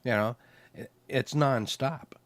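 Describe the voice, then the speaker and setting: A man's voice: medium pitch, slow, no echo. A man co-hosting a podcast, chatting casually and rambling with lots of "you know" fillers. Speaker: podcast co-host. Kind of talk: casual chat.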